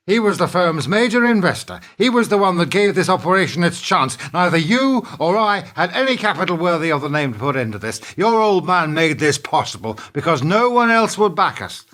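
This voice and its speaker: A fairly high-pitched man's voice , acted for a radio play. He is a weary, middle-aged business partner who reasons earnestly and reproaches in measured phrases. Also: a bit bright.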